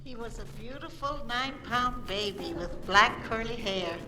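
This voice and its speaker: A woman's voice: a little high, lively intonation, moderate pace, bright, forceful tone. An older woman speaking briefly as a guest on a 1940s radio show. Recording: noisy 1940s radio disc, audience behind.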